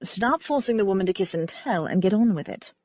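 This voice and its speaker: A woman's voice: medium pitch, animated, fairly quick, a little mellow, no echo, dull audio, close to an everyday voice. A woman voicing a playful, bossy man who banters teasingly, in an audiobook.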